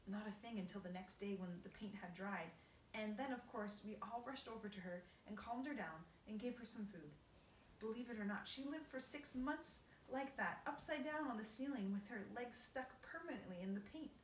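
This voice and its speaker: A woman's voice: a little high, lively intonation, normal speed, lightly acted. A woman doing a prim, tearful spider lady. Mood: mournful. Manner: prim, fretful storytelling. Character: fussy, tearful female spider, prim and dramatic. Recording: roomy, distant, faint background hiss.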